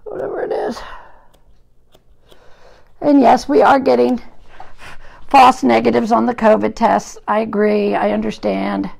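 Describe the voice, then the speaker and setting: A hoarse woman groomer with a gravelly voice, chatting to live-stream viewers while trimming a dog in a grooming salon, recorded on a phone. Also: fairly high pitch, steady tone, unhurried, pauses often, a little mellow. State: hoarse from an illness. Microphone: phone camera propped at the grooming table.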